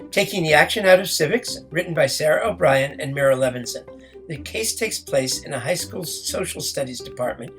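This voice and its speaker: A man co-hosting a podcast, reading a scripted introduction. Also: on the high side. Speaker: podcast co-host.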